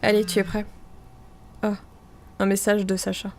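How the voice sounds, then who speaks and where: Casting: actress as woman relaying air-raid messages, flat delivery, realistic radio fiction, faint ambience. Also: mid-range voice, normal speed, slight background noise, lightly acted. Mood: tense, hurried. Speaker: actress in an audio fiction clip. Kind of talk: naturalistic audio drama dialogue.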